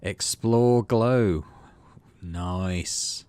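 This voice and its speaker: A man's voice: sits low, extremely slow, clean tone. A man hosting a gaming channel, reading game narration aloud expressively and adding casual commentary. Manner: expressive storyteller reading with asides.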